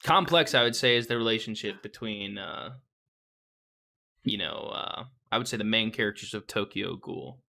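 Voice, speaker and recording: A young man talks over a casual podcast chat with a bright, forward tone. He is blunt and opinionated and swears freely, often restarting his sentences as he hunts for his point. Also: lowish voice, expressive, normal speed, vocal fry. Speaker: podcast co-host. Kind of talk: casual anime review chat.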